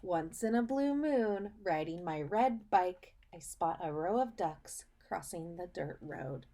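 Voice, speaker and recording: A woman teacher reading a story aloud for children, explaining as she goes and pausing to put questions to the listener, with emphasis on key phrases. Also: lowish voice, animated, mellow. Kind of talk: read-aloud lesson for children, asking questions.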